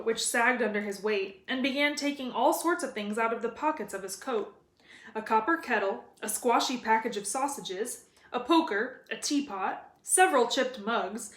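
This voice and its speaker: Female storybook narrator, fairly high voice, read-aloud delivery with noticeable room echo. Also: fairly bright tone. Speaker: reader of a children's novel. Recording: roomy echo.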